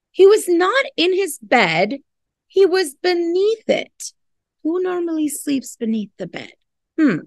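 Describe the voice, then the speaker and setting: A middle-aged woman reads a children's storybook aloud in a fairly high, very animated voice. She takes her time, speaking like a teacher who explains words and puts questions to her young listeners. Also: no room sound. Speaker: art teacher reading a picture book aloud. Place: classroom, indoors.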